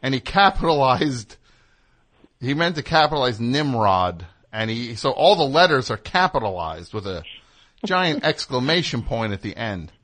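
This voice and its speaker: A man hosting a radio comedy show with a bright, forceful voice. He rambles excitably, swinging from high, animated bursts down to low mutters. It is a loose, joking phone chat. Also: moderate pace. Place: radio studio.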